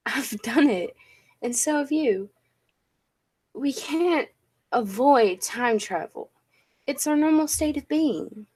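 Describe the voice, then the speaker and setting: A woman playing a confident, eccentric professor and inventor in a 1940s-style radio play, speaking in an assured, deliberate way. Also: high voice, expressive, darkish tone, husky, heavily acted. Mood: cool, matter-of-fact.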